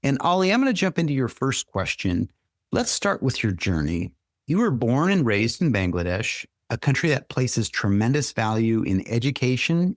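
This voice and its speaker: A man's voice: medium pitch, dry recording, clean studio sound. A man hosting an interview podcast, courteous and welcoming as he introduces and questions his guest.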